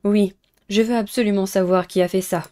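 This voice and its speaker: A woman's voice: medium pitch, fairly even intonation, a little fast, mellow, close to an everyday voice. A woman voicing an eager, inquisitive teenage schoolgirl heroine in a dating game. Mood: earnest.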